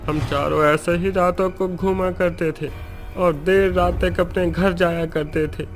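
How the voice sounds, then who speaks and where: A man's voice: high-pitched, fairly even intonation, measured pace, soft background music. A man playing a defiant ghost boy in a horror story, with dramatic delivery. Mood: earnest.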